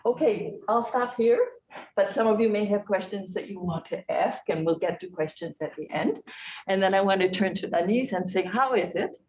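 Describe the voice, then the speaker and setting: A woman's voice: medium pitch, moderate pace, a little mellow, echoey room, low-quality recording. A woman professor moderating a panel conversation, explaining thoughtfully with some fillers.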